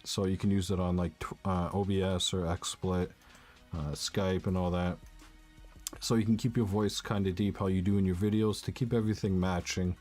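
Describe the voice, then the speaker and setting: A young man with a naturally low voice, casually walking viewers through a tech setup step by step, often saying "uh" and "basically". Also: flat delivery, moderate pace, a little mellow, faint music behind. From Canada. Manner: casual, rambling, frequent "uh" and "basically". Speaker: tech tutorial youtuber. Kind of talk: casual screen-recorded tutorial. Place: home room with a bar fridge.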